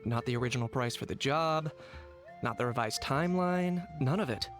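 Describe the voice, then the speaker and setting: A man playing a cool, stoic young man in a video game, dryly observant and deadpan. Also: mid-range voice, music in the background, close to an everyday voice. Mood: dry, suspicious. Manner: deadpan, deliberate.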